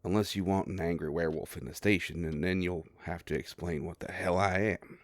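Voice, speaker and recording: A man playing a sardonic, grumbling werewolf private investigator with a soft heart, in an audio roleplay drama. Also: fairly even intonation, a little fast, dry recording. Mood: exasperated, defensive. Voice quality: low gravelly voice.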